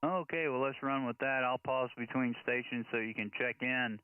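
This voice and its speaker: An American man working as net control operator on a ham radio, making deliberate, orderly announcements and spelling in phonetic letters. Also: medium pitch. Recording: narrow tinny radio-band sound, faint hiss.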